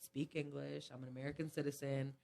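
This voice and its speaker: A woman's voice: rumbling low, flat delivery, quick pace, creaky, warm tone. A woman in her thirties who grew up in Japan, talking casually as a guest in an interview, with many fillers and "you know"s.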